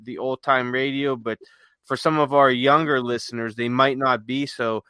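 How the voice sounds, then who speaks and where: A man's voice: mid-range voice, steady tone, moderate pace, bright, forceful tone, clear, resonant tone, dry recording. A man speaking casually as a podcast co-host, with an easygoing, informal manner.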